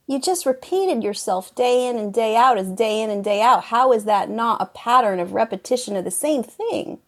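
A woman's voice: a little high, expressive, moderate pace. A woman playing a jaded, restless teacher at a magic school in an improvised comedy sketch, dry and analytical. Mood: exasperated.

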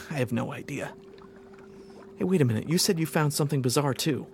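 Man acting male space lieutenant, slightly high voice, excitable, wry, hesitant, loud ship noise, audio drama. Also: normal speed. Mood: intrigued. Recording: loud engine-like ship ambience behind.

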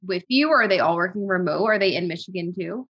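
A woman's voice: lowish voice, lively intonation, fast, slightly rough, highs cut off. A woman interviewer asking curious, playful follow-up questions in a casual chat.

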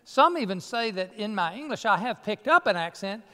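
Here is Martin Luther, voiced by a pastor, an earnest old monk speaking with a Southern US accent. The voice sits high and smooth, folksy and self-deprecating. It rings through a church sanctuary with a long reverb. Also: male voice, lively intonation, normal speed, pushed and bright. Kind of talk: costumed sermon monologue.

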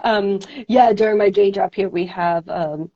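A woman's voice: mid-range voice, fairly even intonation, a little slow. Woman, New York accent, podcast host, chatty with fillers, slightly dull call audio. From United States. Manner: chatty, many fillers and restarts. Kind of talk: casual chat.

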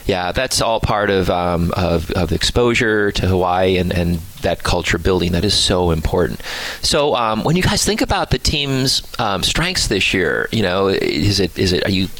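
Man, radio host, lowish pitch, sports interview, conversational, restarts. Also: very animated, normal speed, hardly any pauses, a bit bright, rough recording.

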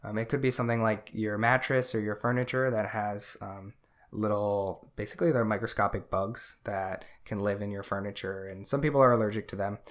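Low-voiced man explaining casually. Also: fairly even intonation, a little fast. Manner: explanatory, many fillers. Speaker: podcast co-host. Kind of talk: casual chat.

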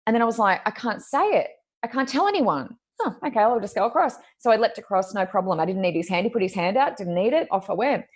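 Loud, animated woman telling a story. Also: mid-range voice, quick pace. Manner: animated storyteller, acts out her own thoughts. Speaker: podcast co-host. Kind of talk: casual conversational storytelling.

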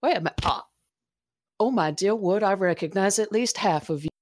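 A woman's voice: medium pitch, moderate pace, a little mellow, dry recording, studio quality, close to an everyday voice. A woman voicing a prim, polite female adventurer who speaks in formal, overly courteous phrases. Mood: surprised.

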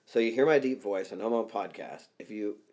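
A man's voice: on the low side, fast, a little mellow. A man co-hosting a podcast in casual chat, talking bluntly and profanely and getting worked up as he goes. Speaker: podcast co-host.

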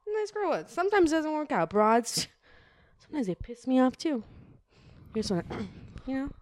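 A woman putting on a soft-spoken male good cop who chats in a hushed, friendly way. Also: high voice, big pitch swings, normal speed, no echo. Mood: coaxing. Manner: hushed, friendly, calls him man.

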